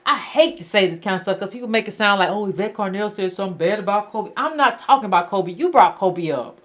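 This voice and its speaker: A woman's voice: medium pitch, lively intonation, quick pace. A woman hosting a call-in show, talking bluntly and emphatically and repeating her points to callers. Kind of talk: casual call-in talk.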